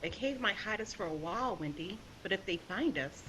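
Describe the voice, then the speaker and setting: A woman's voice: mid-range voice, expressive, a little fast, pushed and bright, slight background noise, poor audio. A woman playing a tough young survival specialist in a sci-fi table read, firm and matter-of-fact. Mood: determined.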